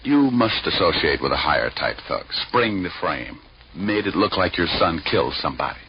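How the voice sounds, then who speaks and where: Hard-boiled radio gumshoe: deep, tough, sardonic, muffled vintage sound. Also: male voice, big pitch swings, moderate pace, background noise. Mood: probing, sardonic. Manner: dry, sardonic, clipped tough-guy wisecracks. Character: wisecracking hard-boiled male private detective, tough, weary, sardonic. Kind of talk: 1940s-50s radio noir acting and first-person narration. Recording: muffled vintage radio recording, music behind.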